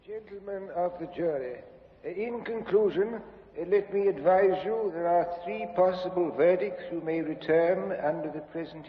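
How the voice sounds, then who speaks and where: An actor playing a judge giving formal, deliberate instructions to a jury. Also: male voice, on the high side, natural voice. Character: measured, formal male judge. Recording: echoey, dull old radio recording.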